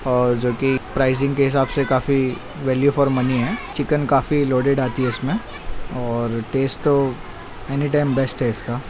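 A man's voice: mid-range voice, little pitch movement, normal speed. A man, hosting a food vlog and chatting about dishes and prices. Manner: chatty, promotional, explains prices. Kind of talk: casual food review, street interviews. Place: restaurant in a city street. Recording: street traffic noise behind.

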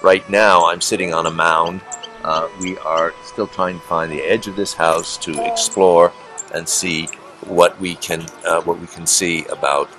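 Man excavator, extremely low voice, halting and repetitive, music beneath. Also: steady tone, pushed and bright. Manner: halting, repeats words. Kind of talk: on-site explanation. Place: outdoors, sitting on a mound.

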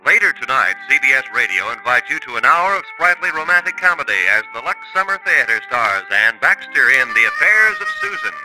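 A man's voice: medium pitch, animated, fairly quick, few pauses, music in the background, low-quality recording. Polished 1950s radio announcer man. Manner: smooth, polished announcer delivery.

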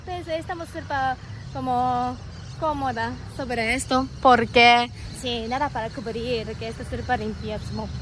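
Japanese woman vlogging in halting Spanish. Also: a little high, fairly even intonation, fairly bright tone. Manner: halting learner's Spanish, frequent fillers. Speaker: travel vlogger. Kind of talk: casual travel vlog narration. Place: airport. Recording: noisy airport background.

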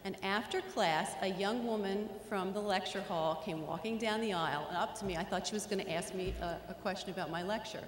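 A woman's voice: medium pitch, brisk, few pauses. A middle-aged woman with a Philadelphia accent, telling a story in an acceptance speech into a podium microphone in a large school auditorium. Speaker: award inductee. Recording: echoey hall, faint room noise.

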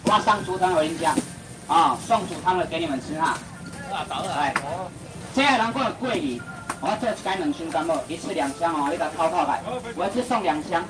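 A young man with a Taiwanese accent auctioning seafood, calling out prices in a rapid sing-song patter and bantering with the crowd at an outdoor market. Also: fairly high pitch, lively intonation. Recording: distant voice over loud market noise.

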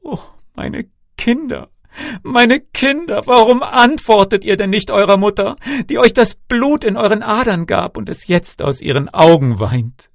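A grief-stricken fairy-tale queen mourns her children in high, swooping, declamatory wails, acted out by a male storyteller. The lament is theatrical and desperate. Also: lively intonation, a little slow, gravelly, no room sound, clean studio sound, heavily acted. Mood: grieving lament.

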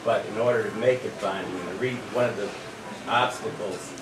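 A man speaking slowly and hesitantly as an audience member at a meeting. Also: fairly low pitch. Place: meeting room. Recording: echoey room, distant mic, loud crowd.

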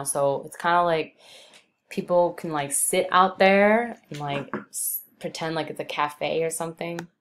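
Young woman from brooklyn, low voice, lots of um and like, faint hiss behind. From Brooklyn, New York. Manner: relaxed, rambling, many ums and likes. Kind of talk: casual screen-recorded narration.

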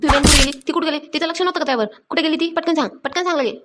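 Woman as cartoon village mom, high, extremely quick, nagging. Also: very noisy, lightly acted. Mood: angry, scolding. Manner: nagging, rapid repeated orders. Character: scolding, busy village mother. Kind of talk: Marathi comedy cartoon dialogue.

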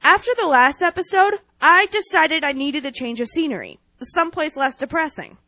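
A woman's voice: high voice, animated, normal speed, bright, forceful tone, no echo, heavily acted. A woman playing a snippy, sarcastic support agent briefing a hero in a video game parody. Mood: dry complaint.

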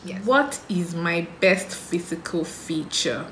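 A Nigerian woman in her thirties talks unhurriedly to her camera, answering her own questions in a relaxed, musing way. Her voice sits a little low. The small room around her echoes, with a faint hiss underneath. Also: slow. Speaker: makeup vlogger. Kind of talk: casual get-to-know-me video. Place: small indoor room, sheet backdrop.

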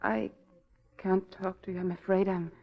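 An actress playing a fragile, frightened wife who doubts her own sanity, anxious and halting, in 1940s radio drama style. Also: medium pitch, level tone, gravelly, lightly acted. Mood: frightened, guarded. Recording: muffled vintage 1940s radio broadcast.

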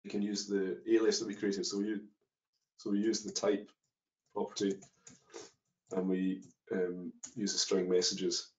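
Low flat-voiced man explaining code. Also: a little slow, long pauses. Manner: explains step by step with many ums and uhs. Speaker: webinar presenter. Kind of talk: live coding walkthrough. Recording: dull echoey webinar audio.

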